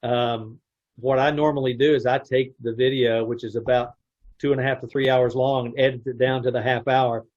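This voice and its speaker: Male producer, average pitch, hesitant casual talker on a video call. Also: level tone, a little mellow, dull audio. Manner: halting, full of restarts and fillers. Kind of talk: casual chat.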